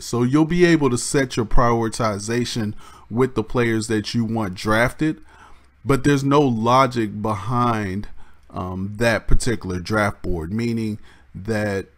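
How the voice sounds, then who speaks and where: A man with a slightly raspy voice, casually explaining a game mode in a rambling way, with restarts and many ums. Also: on the low side. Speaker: gaming YouTuber.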